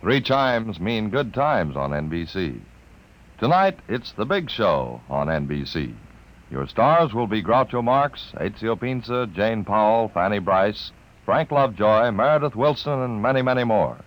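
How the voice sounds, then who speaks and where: Animated, lowish-voiced male radio announcer. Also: measured pace, rough recording. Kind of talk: 1950s radio promo announcing.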